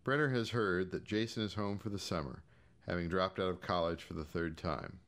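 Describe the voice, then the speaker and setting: A man's voice: deep voice, normal speed, a bit bright. An American man giving a scripted, audiobook-style narration of short fiction. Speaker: short story reader.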